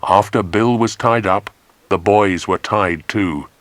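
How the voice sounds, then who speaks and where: A man's voice: fairly low pitch, slow, dry recording. A man narrating a children's adventure story in a dramatic, scripted style. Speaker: audiobook narrator.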